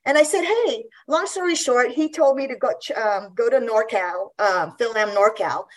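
A chatty woman in her fifties, from Guam, telling stories in a relaxed interview. She laughs easily and often stops to restart her sentences, in a slightly high voice. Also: moderate pace. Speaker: guest athlete. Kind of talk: casual interview chat.

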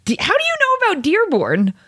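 A woman's voice: extremely high pitch, wildly swooping pitch, fairly quick, a bit bright, no room sound, clean studio sound. A woman hosting a casual interview, teasing her guest playfully and laughing easily. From United States. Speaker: interviewer host.

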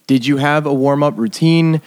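A man's voice: on the high side, fairly even intonation, moderate pace, a little mellow. A young man co-hosting a casual podcast, rambling through his questions with restarts. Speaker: podcast co-host.

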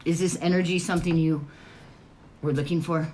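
A woman's voice: on the low side, fairly even intonation, fairly quick, darkish tone, a little hiss or hum, poor audio. A woman in a heated personal conversation. She speaks firmly and insistently, cutting in with short, pointed commands.

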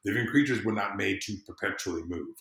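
A man speaks as a podcast guest in a relaxed, casual conversation. His voice sits fairly low, and the recording has a clearly echoey room sound. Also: fast. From the American South. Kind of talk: casual chat.

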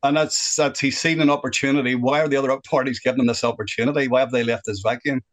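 A man's voice: mid-range voice, steady tone, quick pace. A man in his sixties with a Northern Irish accent, a guest on a phone-in radio chat, speaking earnestly and at length about what bothers him. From Northern Ireland. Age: 60s.

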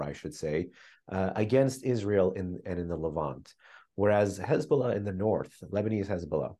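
A man with a very deep voice gives long analytical answers in an interview. He speaks in a measured way, stacking phrases into lists and pausing on "uh" fillers. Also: darkish tone. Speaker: think tank analyst guest. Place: remote, joining from another city.

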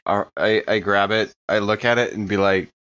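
A man playing a tabletop role-playing game, improvising casually and haltingly. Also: fairly low pitch, steady tone, a bit bright, clear, resonant tone, lo-fi sound. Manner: hesitant, halting. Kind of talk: improvised casual in-character play.

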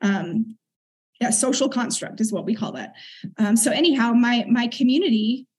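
Woman guest, kinda husky, bit high voice, earnest and thoughtful, clears her throat a lot. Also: lively intonation, moderate pace, darkish tone. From United States. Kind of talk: conversational interview storytelling.

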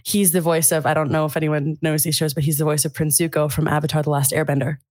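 A woman voice actor and singer, chatting as a podcast guest in an animated way that is quick to laugh. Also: low-pitched, no room sound, studio quality. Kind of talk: casual conversation.

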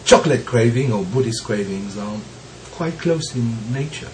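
A man who is recovering from bronchitis, so his voice sounds deeper than usual, gives a slow, reflective teaching talk with frequent pauses and the occasional soft laugh. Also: expressive, noisy background. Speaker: Zen teacher.

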